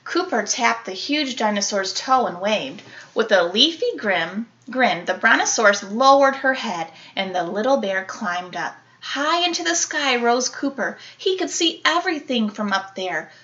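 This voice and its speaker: A woman reading a children's picture book aloud like an elementary teacher, animated, with dramatic emphasis and playful sound-effect words. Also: on the high side, measured pace, lo-fi sound.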